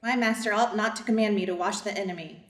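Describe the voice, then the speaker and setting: The sound is roomy and slightly distant. A mid-pitched female voice, a woman acting a stubborn, fearful household servant, performs and narrates in a readers' theater drama. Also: fairly even intonation, fast, a bit bright, close to an everyday voice. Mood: defiant. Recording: echoey room, distant microphone.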